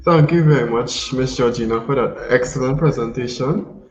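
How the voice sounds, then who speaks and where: A man's voice: mid-range voice, moderate pace. A young man from Trinidad hosting an online youth session, thanking guests and reading questions in a polite, formal way. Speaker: youth host. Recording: dull roomy mic with faint hiss.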